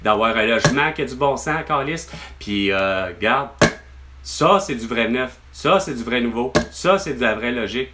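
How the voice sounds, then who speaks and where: A man's voice: medium pitch, expressive, moderate pace, heavy background noise. A man with a Québécois accent, venting to his mother on a phone call, rambling and exasperated, swearing often. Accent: Québécois French. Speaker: vlogger.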